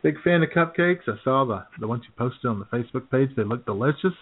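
A man's voice: mid-range voice, animated, quick pace, husky. A man co-hosting an internet radio show with casual comedic banter, joking and often laughing as he talks. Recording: thin phone-like internet radio audio.

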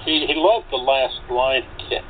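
Halting, lively-pitched man on phone. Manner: halting, repeats and restarts phrases. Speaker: radio play actor. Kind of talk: casual group chat. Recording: tinny phone-like line with clear noise.

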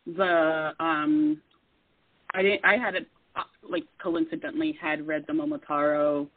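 Radio guest with an even mid-range voice, earnest and measured, heard on a dry phone-like line. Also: medium pitch, dry recording. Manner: earnest, measured, with many fillers. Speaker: guest artist. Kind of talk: casual interview talk.

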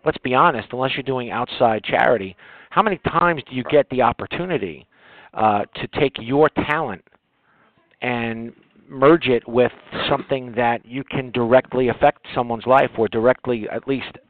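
A male interview host speaks in a mid-pitched voice through a thin, phone-like signal with little room sound. His delivery is conversational and halting, with repeated words as he builds each thought. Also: no echo.

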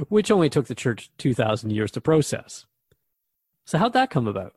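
A man's voice: mid-range voice, big pitch swings, moderate pace, darkish tone, husky, no echo. Inquisitive man, dry close sound. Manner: inquisitive, reflective. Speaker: radio show host. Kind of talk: conversational radio drama dialogue.

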